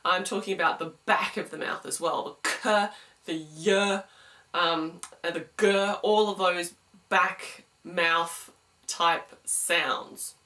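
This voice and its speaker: A young woman with a smooth voice, giving a chatty singing lesson to camera and explaining technique with quick sung examples. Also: lowish voice, fairly even intonation, pushed and bright, distant mic. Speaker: singing teacher.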